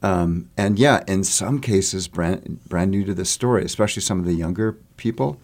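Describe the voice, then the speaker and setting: A man's voice: sits low, normal speed, a little mellow. A man explaining theater work in a conversational interview, thinking aloud and often rephrasing mid-sentence. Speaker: theater artist interviewed about a stage production.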